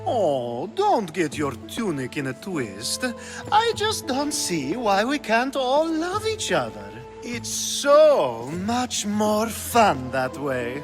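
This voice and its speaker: A man's voice: sits high, wildly swooping pitch, takes it slowly, music in the background, exaggerated voice. An actor playing a playful, seductive Greek hedonist man in a video game, with a teasing drawl.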